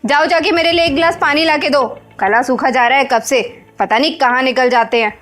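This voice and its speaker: A woman's voice: high-pitched, brisk, fairly bright tone, faint music behind. A woman playing a haughty, bossy daughter-in-law in a Hindi drama, scolding and demanding. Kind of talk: Hindi moral short-film acting.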